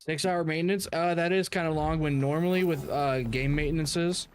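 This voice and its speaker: A man's voice: on the high side, fairly even intonation, normal speed, clean tone, soft background music. A man chatting casually while streaming a game, swearing and using plenty of slang. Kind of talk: casual livestream chat while gaming.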